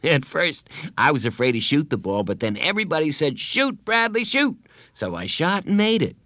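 A man doing a jittery young schoolboy from a storybook, pitched well up and gushing with eager, whiny questions. The voice leaps up and down constantly. It sounds dry and close, with no room around it. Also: sing-song, fairly quick, a bit bright, no room sound. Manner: high, eager, tearful and whiny. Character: anxious excitable young schoolboy. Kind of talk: audiobook character voice.

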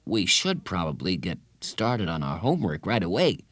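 A man's voice: deep voice, very animated, normal speed, no echo. A man voicing a polite, reluctant schoolboy with a hesitant, mild manner in a children's audiobook.

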